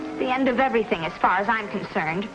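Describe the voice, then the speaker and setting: An American actress playing a spoiled, disdainful young society daughter with clipped delivery in a 1950s television western. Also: female voice, medium pitch, expressive, quick pace, lightly acted. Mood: indignant. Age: young adult. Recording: dull vintage TV soundtrack, loud music.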